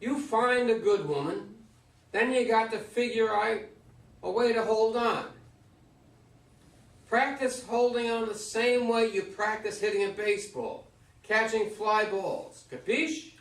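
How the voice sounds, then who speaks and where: Man playing high-voiced old baseball legend, plainspoken. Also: unhurried. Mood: encouraging. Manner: plainspoken, folksy advice-giving. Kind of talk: staged play reading. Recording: roomy stage, far from microphone.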